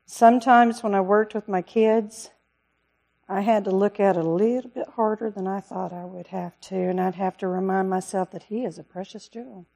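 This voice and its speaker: Woman, missionary, church talk, earnest, loud-voiced, pleading, mid-pitched. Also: steady tone, moderate pace, creaky. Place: church service.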